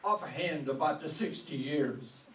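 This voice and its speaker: A man playing a wry, hesitant maestro who trades droll insults in an old-time radio comedy, with a halting delivery. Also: on the high side, expressive, a bit bright. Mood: dryly mocking. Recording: echoey stage, distant mic, faint noise.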